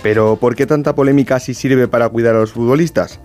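A man voicing a scripted news report in a brisk, emphatic way. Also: mid-range voice, steady tone, darkish tone, faint music behind.

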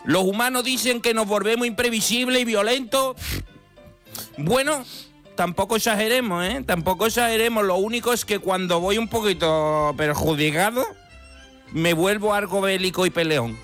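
Comic radio role: tipsy, combative French deer played by a man, high-pitched, indignant, theatrical, music underneath. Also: normal speed, a bit bright. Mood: defensive, boastful. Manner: theatrical, indignant, boastful storytelling. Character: boozy, belligerent French deer pleading his case in a letter.

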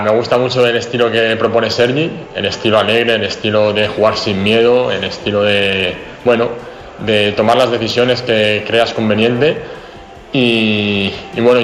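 Relaxed young man, echoey room. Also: lowish voice, a little hiss or hum. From Spain, Madrid region. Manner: relaxed, chatty, many fillers. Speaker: basketball player. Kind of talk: press conference answers.

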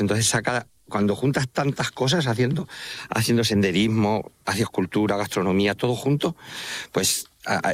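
A man with a Manchegan Spanish accent chatting as an interview guest, enthusiastic, halting and often repeating himself. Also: fairly low pitch. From Castilla-La Mancha, Spain. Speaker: interview guest, walking pilgrim guide. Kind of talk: casual interview talk. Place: outdoors, walking along a trail by an estuary.

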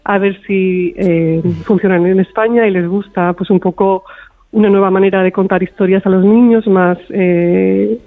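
A woman's voice: medium pitch, steady tone, normal speed. A woman answering as an interview guest, hesitant and often restarting, over a call. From Spain. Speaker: interview guest, author and illustrator. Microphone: remote call into a radio studio. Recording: tinny narrow phone line.

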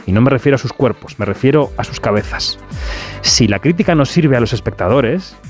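Emphatic radio host. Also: male voice, mid-range voice, animated, brisk, soft background music. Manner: opinionated, emphatic delivery. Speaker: radio film show host. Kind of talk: radio show monologue and interview.

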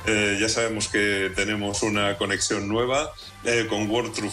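A man's voice: lowish voice, level tone, moderate pace, pushed and bright, soft background music. A man contributing to a radio travel show, speaking eagerly and descriptively with plenty of fillers. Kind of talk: radio conversation.

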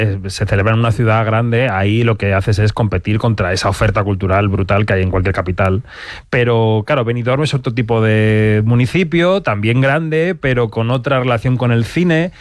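A man hosting a podcast roundtable, moderating with brisk questions and joking asides. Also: medium pitch, no echo, clean studio sound. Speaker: podcast host.

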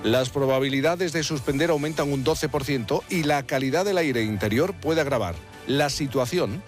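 A male radio host speaks in an even middle pitch. He presents scripted introductions and puts questions to a panel, with music at times under his voice. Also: moderate pace.

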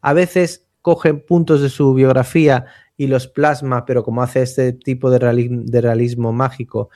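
Halting Spanish man, very dry close sound. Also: mid-range voice, level tone, warm tone, no room sound. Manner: halting, searching for words, seeking agreement. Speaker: literary commentator. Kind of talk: conversational explanation of literature.

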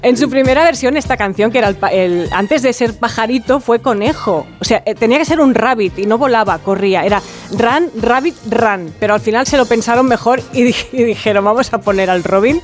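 A woman's voice: on the high side, fairly quick. A woman with an accent from Spain giving jokey, chatty music commentary with a theatrical touch, like a radio segment. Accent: Spanish, from Spain. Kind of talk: playful, conversational music commentary. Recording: music playing behind. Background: disco music.

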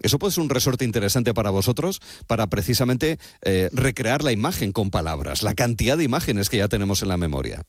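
A man with a Spanish accent from Spain hosts a conversational radio interview. His voice is on the low side and recorded close and dry. He is courteous and discursive, stretching questions out with asides and qualifications. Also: big pitch swings, fairly quick, no room sound.

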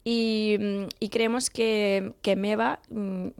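Gravelly-voiced woman from Portugal. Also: medium pitch, level tone, takes it slowly, a little mellow, dry recording, studio quality. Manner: hesitant, many fillers. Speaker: company founder, guest. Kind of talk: radio interview.

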